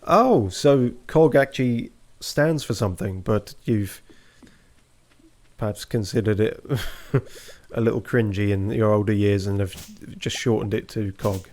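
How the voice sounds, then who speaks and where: A man's voice: on the low side, measured pace. A man streaming a video game, talking casually with a co-host and reading viewer comments; his voice tends to break. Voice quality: voice breaks often. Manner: relaxed, reads chat aloud. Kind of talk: casual gameplay chat.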